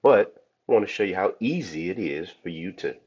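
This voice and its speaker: Hoarse, very deep-voiced podcast host. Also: male voice, lively intonation, a little fast, a little mellow. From Cleveland, Ohio. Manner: chatty, addresses listeners as y'all. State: hoarse from a cold. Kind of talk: casual podcast talk. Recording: dull lo-fi audio.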